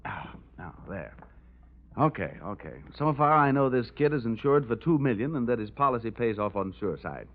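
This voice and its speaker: An American actor playing a wry, wisecracking insurance investigator in an old-time radio detective drama. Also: male voice, medium pitch, sing-song, normal speed. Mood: sardonic. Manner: wry, breezy, sardonic. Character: cocky wisecracking male insurance investigator, rugged and unflappable. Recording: tinny vintage radio recording, faint music.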